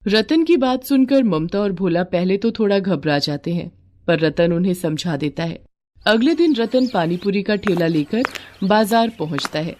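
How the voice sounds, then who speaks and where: A woman's voice: mid-range voice, lively intonation, normal speed, darkish tone. A woman narrating a scripted moral story as a storyteller.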